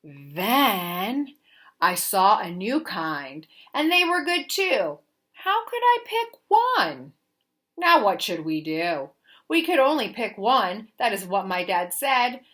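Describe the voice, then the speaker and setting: Bright, very animated woman reading a storybook. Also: mid-range voice. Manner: expressive, deliberate, sing-song emphasis. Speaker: teacher reading a picture book aloud. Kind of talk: animated children's storybook read-aloud.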